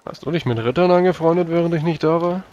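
A man's voice: a little high, fast talker, darkish tone, dull audio, soft background music. A man playing in a tabletop roleplaying game, talking conversationally in character.

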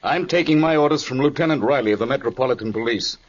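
An actor playing a gruff, officious studio gateman with a rough voice in a 1940s radio drama. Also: medium pitch, fast talker, lightly acted. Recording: muffled 1940s radio recording.

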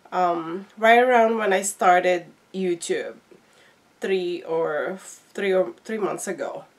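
A middle-aged woman, a little congested from flu, talking casually as a vlogger and showing things to viewers in an unboxing video. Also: medium pitch. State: slightly congested, recovering from flu. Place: small indoor room at home.

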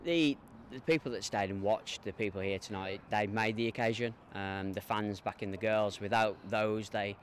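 A middle-aged man, a football coach, giving measured, hesitant answers in a post-match interview outdoors. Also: on the low side. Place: open football stadium pitchside, outdoors. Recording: outdoor noise behind.

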